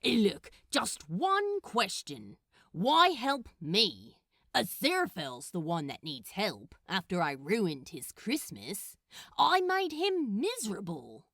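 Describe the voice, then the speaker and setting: A woman's voice: mid-range voice, huge pitch swings, takes it slowly, fairly bright tone, dry recording, studio quality, exaggerated voice. A woman voicing a grumpy, defensive male demon in a storybook reading, with a snappish, grudging, growling manner. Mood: growling, pleading.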